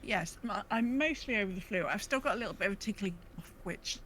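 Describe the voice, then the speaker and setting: A woman's voice: medium pitch, animated, fast, fairly bright tone, slight background noise. A woman hosting a podcast in casual, chatty conversation. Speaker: podcast host.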